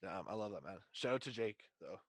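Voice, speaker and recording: Low-voiced young man chatting casually, slangy. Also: expressive, brisk, over a noisy crowd. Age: 20s. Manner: slangy, profane, bro-talk. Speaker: podcast host. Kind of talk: casual podcast chat.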